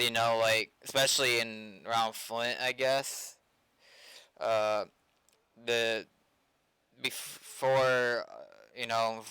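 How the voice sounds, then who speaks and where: A man's voice: medium pitch, bright, forceful tone, smooth, dry recording, rough recording. A man with an American accent chatting casually and loosely, in a hesitant, rambling way, like a developer streaming while he works. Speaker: game developer live-streaming.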